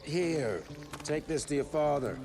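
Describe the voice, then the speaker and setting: A man's voice: on the high side, animated, measured pace. An actor playing a grizzled older male monster hunter with a dry, sardonic manner. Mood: wry.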